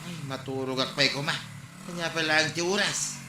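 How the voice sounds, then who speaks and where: A man's voice: fairly high pitch, fairly even intonation, fairly quick, bright, forceful tone, roomy sound, slight background noise. A man with an Ilocano accent playing a lazy, grumbling idle village husband who whines and argues in a radio comedy drama.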